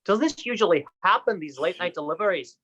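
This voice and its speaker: A woman's voice: on the low side, lively intonation, fairly quick, fairly bright tone. A woman acting in a radio play as a principled, distressed young male medical apprentice, speaking earnestly and formally, on dull lo-fi audio. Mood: uneasy. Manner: earnest, formal, dramatic delivery.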